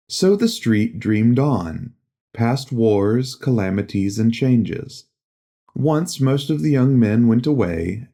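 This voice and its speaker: A man narrating a literary audiobook in a measured, solemn storytelling manner. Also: medium pitch, dark tone.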